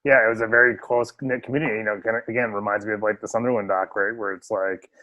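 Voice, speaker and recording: A man's voice: on the low side, flat delivery, fast, dry recording, narrow, tinny audio. A man hosting a podcast remotely, chatting and joking with lots of fillers. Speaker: podcast host. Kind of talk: casual chat. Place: remote home setup.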